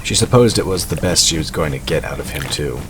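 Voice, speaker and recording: A man's voice: very deep voice, lively intonation, brisk. A man reading scripted fantasy narration for an audio drama. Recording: clearly noisy background ambience.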